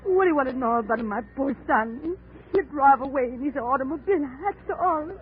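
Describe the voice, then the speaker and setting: Mournful mother, very high voice, plaintive, old radio drama, muffled narrow sound. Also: expressive, brisk, a little hiss or hum. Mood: mournful, pleading. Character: grieving, anxious immigrant mother, Italian. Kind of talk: 1940s radio acting.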